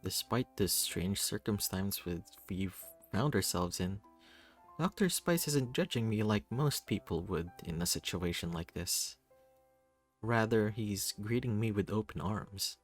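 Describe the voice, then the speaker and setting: A man reading a first-person visual novel aloud in an expressive, theatrical way. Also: fairly low pitch, measured pace. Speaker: reader voicing a visual novel. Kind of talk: dramatic first-person story narration. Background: music.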